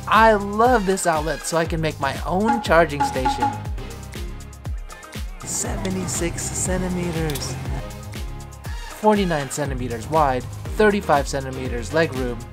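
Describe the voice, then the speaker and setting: A man in his thirties, somewhat high-voiced, chats upbeat into a handheld camera from his seat on a plane. He talks loosely, with lots of "like" fillers. Loud background music runs under his voice. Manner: upbeat, chatty, many "like" fillers. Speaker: travel vlogger. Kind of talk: casual travel vlog review. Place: airplane cabin seat. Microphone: handheld camera at arm's length.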